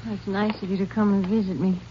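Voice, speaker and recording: An actress playing a fragile, confused and distrustful wife in hospital in a radio crime drama, soft and faltering. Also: female voice, medium pitch, little pitch movement, fairly quick, lightly acted. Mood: polite, subdued. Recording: muffled, noisy old radio broadcast.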